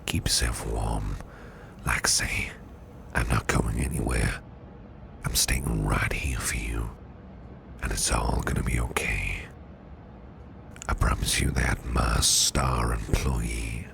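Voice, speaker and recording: A man playing a gentle, protective businessman boss in an ASMR roleplay, murmuring tender reassurance in a breathy near-whisper. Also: a bass voice, fairly even intonation, takes it slowly, long pauses, noisy background, exaggerated voice. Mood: soothing, tender. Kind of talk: ASMR roleplay, soothing personal attention.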